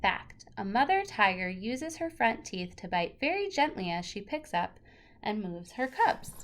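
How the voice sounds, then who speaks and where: A woman with a fairly high voice reads like a teacher sharing a picture book with children. Her delivery is expressive, leaning deliberately on key words, with casual remarks of her own mixed in. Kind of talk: read-aloud of a children's nonfiction picture book with casual asides.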